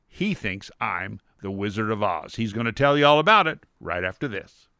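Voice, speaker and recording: A man's voice: on the low side, very animated, moderate pace, creaky, bright, forceful tone, dry recording, clean studio sound, faint music behind. A man hosting a radio talk show as a dentist, upbeat and playful, speaking straight to his listeners.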